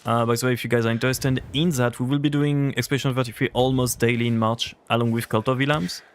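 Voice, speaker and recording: A man's voice: medium pitch, fairly even intonation, quick pace. A young man with a French accent, chatting casually with his chat while playing a game on a livestream. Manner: chatty, rambling, answers chat. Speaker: streamer.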